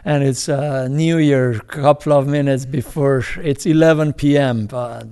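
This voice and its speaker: A man with an Iranian accent, a film director speaking as an interview guest in a halting way, with many restarts, fillers and chuckles. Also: medium pitch, steady tone, dark tone, clear, resonant tone. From Iran. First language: Farsi. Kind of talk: casual interview talk.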